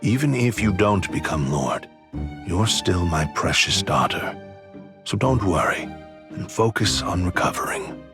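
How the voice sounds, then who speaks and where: A man's voice: low-pitched, expressive, measured pace, music in the background. A man playing an aging noble father in a game, grave and formal, stern but caring. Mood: tender. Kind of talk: anime-style game voice acting.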